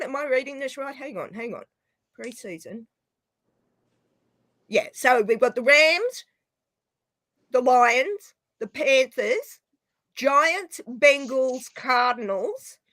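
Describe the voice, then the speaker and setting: A woman with a head cold chats on a podcast in a talky, easygoing way and says sorry often. Her voice sits high, and it sounds dry and close to the mic. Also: bright, forceful tone, no echo. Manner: chatty, quick to apologize. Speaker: podcast guest. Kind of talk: casual chat.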